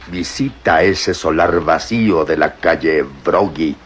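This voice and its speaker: A man's voice: very deep voice, unhurried, a little hiss or hum. An actor playing a stern male police inspector in an old radio drama, theatrically accusing. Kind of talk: 1960s radio drama acting.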